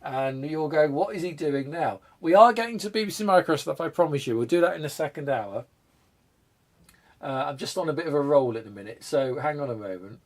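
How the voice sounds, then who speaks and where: Man, stuffy from a cold, rambling live stream host, self-deprecating, thinks out loud. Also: a little high, lively intonation, fairly quick. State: congested from a cold, coughing. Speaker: retro computer channel host. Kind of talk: casual live stream chat.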